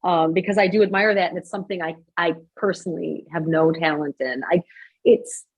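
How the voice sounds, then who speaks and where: Husky woman hosting a chat. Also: on the low side, steady tone, brisk. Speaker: interview show host. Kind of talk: casual chatty interview.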